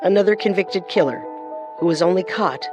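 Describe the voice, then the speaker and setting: A woman co-hosting and narrating a scripted true-crime show with measured, dramatic storytelling. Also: lowish voice, muffled recording, over music. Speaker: podcast co-host and narrator.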